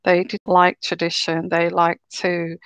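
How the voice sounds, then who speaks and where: A woman giving a live tarot reading in a slow, soothing, reassuring way, her throat a little sore and croaky. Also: on the low side, monotone, a bit bright, no room sound. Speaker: tarot reader.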